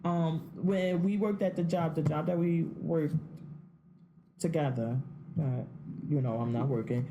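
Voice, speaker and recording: A woman talks in a casual, opinionated podcast chat. Her voice is fairly low and mellow. She is blunt and swears freely, and there is a faint hiss behind her. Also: a little slow, dull audio. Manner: blunt, profane, laughs often. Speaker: podcast co-host.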